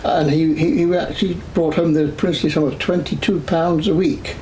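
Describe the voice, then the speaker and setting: A man in his sixties with an English accent, recounting his life in a measured oral history interview full of "uh" pauses. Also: fairly high pitch, fairly even intonation, a little mellow, a little hiss or hum, poor audio. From Scotland, raised in England. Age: 60s. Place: his home.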